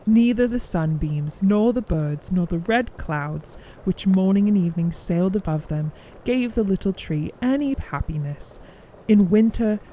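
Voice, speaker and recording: A woman's voice: medium pitch, muffled recording, a little hiss or hum. A woman narrating a scripted children's story as a storyteller.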